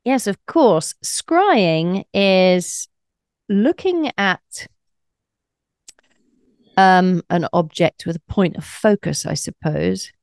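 A woman guest with a slightly croaky, gravelly voice, explaining her psychic practice in a thoughtful, conversational way during an interview. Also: on the low side, lively intonation, extremely slow, long pauses, a little mellow, dry recording, clean studio sound. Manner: thoughtful, explanatory, light laughs.

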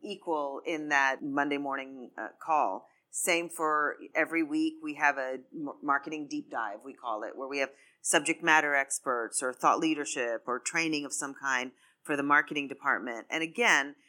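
A woman's voice: low-pitched, moderate pace, few pauses, bright, forceful tone. A woman who leads a marketing team, speaking earnestly on a live podcast panel and often restarting her words.

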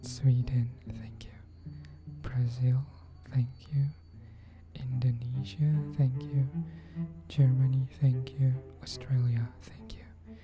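A woman hosting a podcast, thanking her listeners in a soft, often near-whispered, unhurried voice. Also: extremely low pitch, little pitch movement. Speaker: podcast host. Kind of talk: thank-you message to listeners. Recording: cello music playing under the voice.

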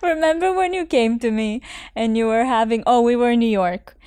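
A woman's voice: fairly high pitch, lively intonation, fairly quick, a little mellow, clear, resonant tone, dry recording. A woman in her thirties hosting a casual podcast chat, telling stories animatedly and laughing often. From Soviet upbringing. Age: 30s. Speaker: host.

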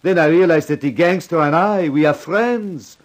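A man's voice: fairly high pitch, moderate pace, close to an everyday voice. An actor playing a suave but nervous Frenchman in a radio crime drama, speaking with a French accent in careful, hesitant phrases. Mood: wistful, measured. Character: suave, nervous French lover, pleading his innocence.

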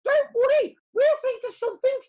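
A woman's voice: extremely high pitch, moderate pace, dark tone, muffled recording, pitched above the performer's own voice. A woman voicing an eager, proud female bee laboratory helper in a storybook, earnest and keen. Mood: reassuring, hopeful.

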